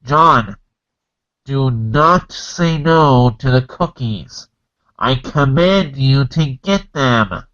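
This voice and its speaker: A man's voice: medium pitch, lively intonation, extremely slow, a bit bright, muffled recording. A man voicing a haughty, exasperated commander who barks stilted, declamatory orders in a dramatic comic reading. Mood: indignant, demanding.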